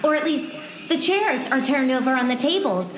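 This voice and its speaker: Very high-pitched, showy villain sidekick. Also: female voice, lively intonation, normal speed, very noisy. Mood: taunting. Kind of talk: audio drama acting. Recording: echoey, loud music underneath.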